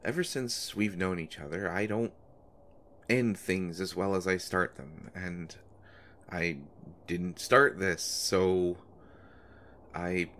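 A man performing an intimate audio roleplay as a nervous, eager-to-please young man who talks in halting, flustered stammers. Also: low-pitched, fairly even intonation, a bit bright, a little hiss or hum. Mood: defensive, anxious. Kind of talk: intimate one-sided audio roleplay drama.

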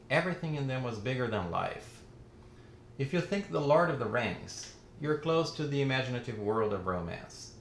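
A man in his forties, a native Portuguese speaker, giving a lively video lecture on literature from his home study. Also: mid-range voice, roomy sound, slight background noise. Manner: lively, expressive, gestures with hands. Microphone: camera framing, chest-up.

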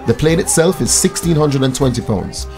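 Radio drama lead: male actor as humble Jamaican airline pilot, mid-pitched, friendly and earnest, music in places. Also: lively intonation, moderate pace, close to an everyday voice. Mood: friendly, informative.

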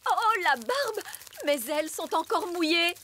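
A woman's voice: very high-pitched, expressive, unhurried, very noisy. An actress playing a prim fairy nanny in a French children's cartoon, stoic and understated as she keeps a brave face. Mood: uneasy.

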